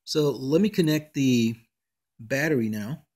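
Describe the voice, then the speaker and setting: A man giving an unscripted technical demonstration as an electronics hobbyist, explaining in a measured, halting way. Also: medium pitch, darkish tone.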